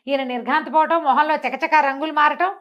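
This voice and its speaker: Female storyteller, higher register, expressive and chatty, fond of rhetorical questions. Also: a little fast, dull audio. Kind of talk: conversational moral storytelling.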